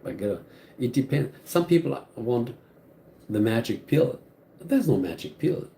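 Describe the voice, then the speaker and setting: A man's voice: medium pitch, big pitch swings, measured pace, gravelly, mellow. A man with a German accent, German his first language, hosting a live stream and talking earnestly to viewers, often repeating and restarting his phrases. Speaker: channel host.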